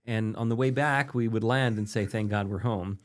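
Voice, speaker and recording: A man's voice: on the low side, normal speed. A man around forty, a native Polish speaker, interviewing casually as an interested, affirming listener.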